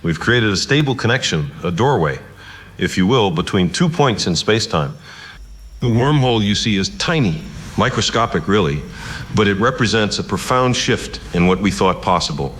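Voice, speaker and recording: Low-voiced earnest physicist. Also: male voice, animated, moderate pace, fairly bright tone, slightly rough. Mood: proud, measured. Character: earnest, cautious male theoretical physicist. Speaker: scientist. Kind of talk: audio drama acting.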